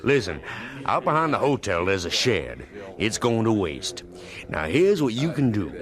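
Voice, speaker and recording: A man playing a brash, teasing American hotel kitchen hand, gruff and colloquial, in a radio drama. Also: lowish voice, very animated, normal speed, crowd noise. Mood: friendly.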